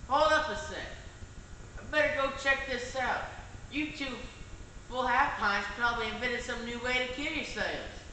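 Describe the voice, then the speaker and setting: A man's voice: extremely high pitch, normal speed, pushed and bright. A man playing a crotchety, boastful old backwoods man with a folksy, grumbling drawl in stage reader's theater. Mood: suspicious, gruff. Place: large echoey room, school performance. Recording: echoey, distant, noisy school stage recording.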